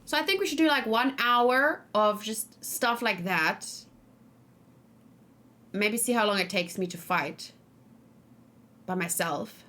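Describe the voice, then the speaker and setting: A woman's voice: mid-range voice, takes it slowly, pauses often, bright, forceful tone. A woman streaming a video game, thinking aloud informally and talking casually with her viewers. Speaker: video game streamer, let's play host. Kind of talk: casual live game commentary, chatting with viewers.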